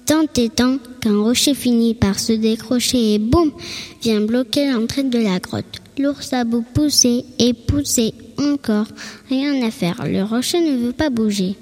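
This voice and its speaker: A woman narrating a children's musical story in a gentle, expressive way. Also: fairly high pitch, measured pace. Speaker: narrator and singer.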